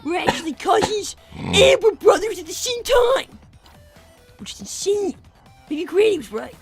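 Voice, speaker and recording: A woman's voice: very high-pitched, sing-song, a little slow, soft background music, heavily acted. A woman voicing a blunt, bossy five-year-old fox brother, needling in improvised cartoon-style banter.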